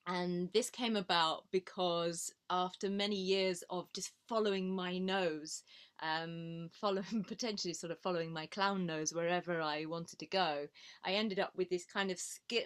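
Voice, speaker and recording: Lower-voiced woman, reflective and hesitant. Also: fairly even intonation, moderate pace, clear, resonant tone. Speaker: panelist, clown and laughter yoga facilitator. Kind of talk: informal self-introduction at a panel discussion. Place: online video call.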